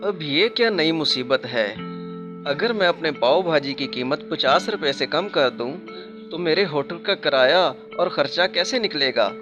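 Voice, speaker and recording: A man's voice: on the high side, lively intonation, measured pace, muffled recording, music in the background. A man playing an earnest, plaintive husband who cooks for a living in a cartoon moral story. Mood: worried. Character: struggling husband, anxious but hopeful restaurant cook.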